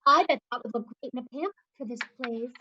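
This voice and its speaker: A woman's voice: fairly high pitch, quick pace, slight background noise, natural voice. An actress playing a defiant, sarcastic young woman held in a mental hospital. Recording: slightly dull lo-fi livestream audio.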